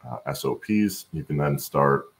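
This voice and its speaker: A middle-aged man with an extremely low, gravelly voice. He talks as an expert guest on a video call, explaining things step by step with frequent hesitations. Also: measured pace, slight background noise. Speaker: guest, supply chain expert. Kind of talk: interview answers, explaining work practice. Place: room at home, on a video call.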